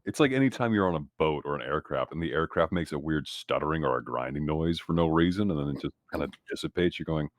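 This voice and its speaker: A man's voice: a bass voice, lively intonation, fast, few pauses, no room sound. A man chatting casually as a player in a tabletop roleplaying game.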